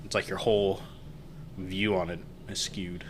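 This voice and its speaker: Deep-voiced man chatting casually. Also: little pitch movement, a little slow, noisy background. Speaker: podcast host. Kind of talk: casual chat.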